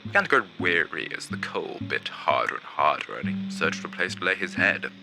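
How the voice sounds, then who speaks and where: A man performs a grandly gloomy undead theatre narrator with a very low voice that swoops dramatically. He is vain and pompous, drawing out his phrases like an old-fashioned stage storyteller, with music playing underneath. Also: expressive, gravelly, rough recording. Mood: solemn, dramatic. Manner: dramatic, pompous, drawn-out delivery with hushed asides. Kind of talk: theatrical storytelling for an animated short film.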